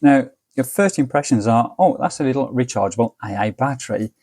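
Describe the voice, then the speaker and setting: A man's voice: medium pitch, lively intonation, a little fast, a little mellow, no room sound. Man giving a casual, close dry product review. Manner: matter-of-fact, methodical explaining. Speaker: product reviewer and channel host.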